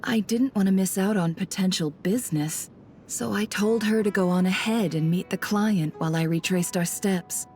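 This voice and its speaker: A woman's voice: mid-range voice, normal speed, darkish tone, lightly acted. A woman playing a shrewd, composed traveler in a video game, speaking politely and with calculation. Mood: earnest, explaining. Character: shrewd woman traveler posing as antiques smuggler. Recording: music under the voice.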